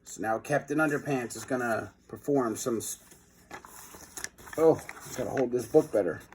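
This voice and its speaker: A man hosting a children's read-aloud, moving between expressive storybook reading and informal, hesitant asides. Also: mid-range voice, moderate pace, slight background noise.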